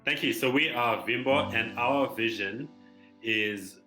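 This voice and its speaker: A man from Zimbabwe giving a conference talk as a startup founder, speaking haltingly with many pauses and fillers. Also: medium pitch, bright, forceful tone, reverberant, soft background music, rough recording. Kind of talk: conference presentation.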